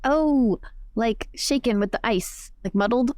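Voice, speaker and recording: A woman's voice: fairly high pitch, measured pace, dark tone, no room sound. Woman at game table, dry close sound. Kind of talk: casual in-character game chat.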